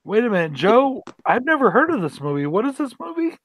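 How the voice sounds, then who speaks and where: A man from Denver hosting a casual group chat with friends, talkative and quick to cut in with questions. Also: very high voice, very animated, fairly bright tone, no echo. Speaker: host.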